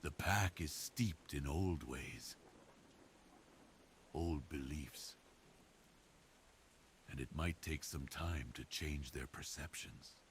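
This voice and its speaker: A man playing a devoted, protective werewolf boyfriend in an intimate ASMR roleplay, speaking earnestly and deliberately to reassure his partner. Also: very deep voice, slight background noise. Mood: serious, explaining.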